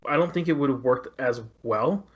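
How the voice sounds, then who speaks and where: A man with a mid-pitched voice chats casually as a film review panelist. He speaks haltingly, repeating words as he goes, on a slightly dull recording. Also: a bit bright. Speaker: podcast panelist reviewing films. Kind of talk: casual chat.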